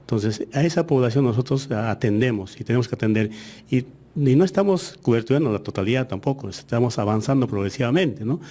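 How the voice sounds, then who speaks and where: Man, Aymara first language, warm mellow tone, mid-pitched, measured official explaining with pauses. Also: lively intonation. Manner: measured explaining, frequent hesitations. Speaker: education ministry official. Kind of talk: interview answers.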